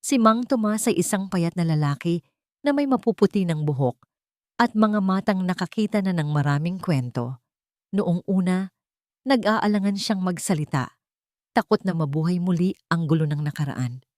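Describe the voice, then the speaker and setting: A woman's voice: on the low side, expressive, moderate pace, darkish tone, no room sound, studio quality. A woman with a Filipino accent reading a scripted romance story as a dramatic storyteller.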